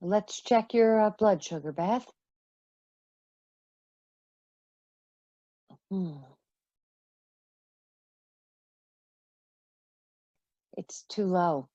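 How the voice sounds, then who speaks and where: A woman playing a caring, reassuring foster mother in a radio play, with a husky voice. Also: mid-range voice, measured pace, dark tone, no room sound, lo-fi sound, lightly acted. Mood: concerned.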